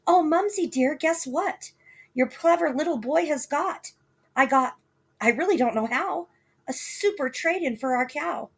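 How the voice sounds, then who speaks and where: A woman doing a cheeky, excitable young boy. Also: a little slow. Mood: excited. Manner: high, eager and breathless.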